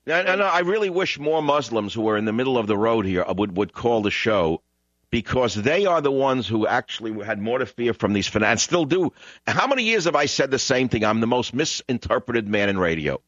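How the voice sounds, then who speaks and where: A man's voice: mid-range voice, sing-song, brisk, fairly bright tone, no room sound. A man hosting a call-in radio talk show, emphatic and combative, repeating lines for effect. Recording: dull radio broadcast sound.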